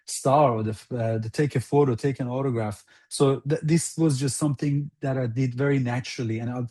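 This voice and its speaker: A man giving an earnest interview as a guest, speaking deliberately and haltingly, repeating phrases for emphasis. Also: mid-range voice, steady tone, mellow. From outside Iran. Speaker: interview guest, former national football team interpreter.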